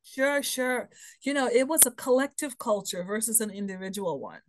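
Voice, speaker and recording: A woman speaks as a podcast guest with a slightly raised, lively voice. Her stories run long and keep checking in with the listener. The sound is dry and close, with no room echo. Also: a little high, moderate pace. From Bahamas. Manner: lively storyteller, frequent "right?" and "you know". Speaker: guest, human potential expert. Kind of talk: podcast interview, personal storytelling.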